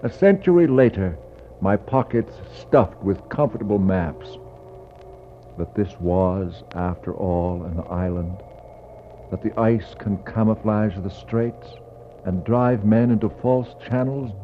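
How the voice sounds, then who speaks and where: An actor playing a brooding polar explorer in a 1960s poetic radio drama, speaking in a solemn, declamatory way with dramatic pauses. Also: male voice, on the low side. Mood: troubled wonder. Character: brooding male polar explorer searching for a lost expedition. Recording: dull, noisy 1960s radio recording.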